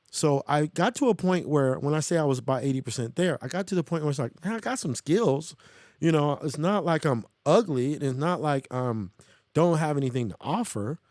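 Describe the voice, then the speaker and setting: A man with an American accent and a mid-pitched voice tells a personal story in a casual way. He is candid and reflective, and the sound is close and dry. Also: darkish tone, no room sound. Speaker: podcast guest.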